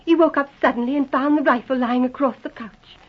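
A woman's voice: high voice, steady tone, a little fast, breathy edge. An actress playing an earnest, respectful young woman in mourning, speaking deferentially in an old radio drama. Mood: earnest, subdued. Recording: muffled old 1940s radio recording.

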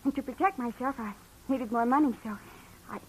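A woman's voice: on the high side, fairly quick, a little mellow, low-quality recording. An actress playing a frightened young woman in trouble, hesitant and stammering, in 1940s radio drama style. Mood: nervous. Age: young adult. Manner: hesitant, stammering, breathy pleading. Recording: old radio broadcast with faint hiss.

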